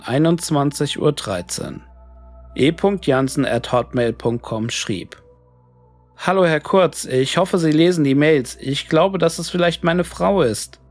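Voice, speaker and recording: A man reading a scripted horror story made up of written messages. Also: a little high. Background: music.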